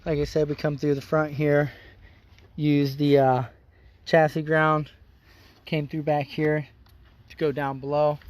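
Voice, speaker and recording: A man hosting a car vlog outdoors, explaining his install in a plain-spoken, folksy way, his voice a bit hoarse. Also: fairly high pitch, little pitch movement, a little slow, pauses often. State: slightly hoarse. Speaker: car customizing channel host. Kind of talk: casual how-to vlog.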